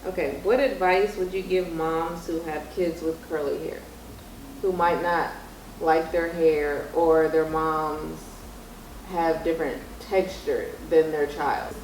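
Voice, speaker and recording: A woman's voice: low-pitched, steady tone, takes it slowly, a little mellow. A young woman hosting a casual vlog, asking questions in an informal chat. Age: young adult. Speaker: vlog host interviewing her mother. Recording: echoey room, distant mic, faint noise.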